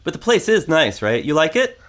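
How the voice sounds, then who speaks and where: A man's voice: a little high, sing-song, brisk, fairly bright tone. An actor playing a breezy, teasing male roommate in an audio drama. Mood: reassuring.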